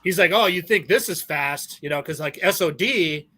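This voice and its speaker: A man's voice: on the high side, normal speed, sharp tone. A man in his forties, chatting casually on a podcast, rambling and swearing constantly. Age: 40s. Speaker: podcast guest, band member. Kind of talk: casual chat.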